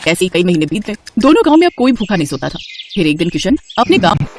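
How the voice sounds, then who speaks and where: Narrator for a children's fable: fast, expressive delivery, dry studio-like sound. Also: mid-range voice, no echo. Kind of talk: scripted children's moral story narration.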